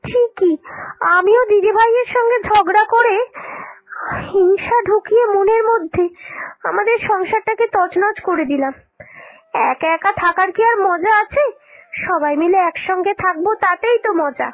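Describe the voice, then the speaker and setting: Casting: woman to play quarrelsome young daughter-in-law for a cartoon, very high pitched, theatrical delivery. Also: normal speed, dry recording, poor audio. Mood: remorseful. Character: shrill, spiteful, quarrelsome young daughter-in-law, later repentant. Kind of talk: Bengali cartoon dialogue acting.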